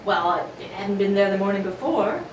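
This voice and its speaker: An actress playing an earnest, distraught young governess, pleading and halting in a melodramatic radio drama. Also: female voice, mid-range voice, close to an everyday voice. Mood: earnest, insistent. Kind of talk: 1940s radio drama acting. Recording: roomy, distant mic with clear noise.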